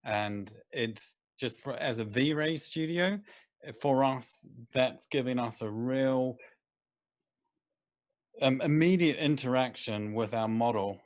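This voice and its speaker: A man from a visualization studio describing his team's workflow briskly on a panel. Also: mid-range voice. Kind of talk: casual video call panel.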